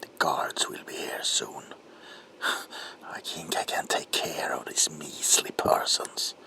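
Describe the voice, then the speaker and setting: A man playing a sadistic, gloating monstrous Victorian villain in a horror ASMR roleplay, with a whispery rasp and a slow, menacing, theatrical delivery. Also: rumbling low, steady tone, pushed and bright, heavily acted, rough recording. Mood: sadistic menace. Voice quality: whispery, creaky, breathy rasp.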